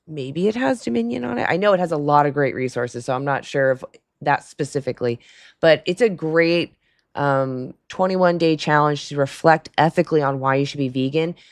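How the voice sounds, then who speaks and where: A woman with a low, raspy, hoarse voice hosts a live call-in stream. She speaks in a loose, chatty way, laughs between points and answers her chat as she goes. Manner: chatty, laughs often, talks back to chat. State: hoarse throat. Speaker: livestream call-in host. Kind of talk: casual livestream chat with callers.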